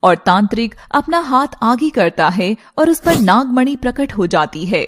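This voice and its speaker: A woman narrates a cartoon tale in a high voice. The delivery is scripted storytelling in the third person. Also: sing-song, measured pace.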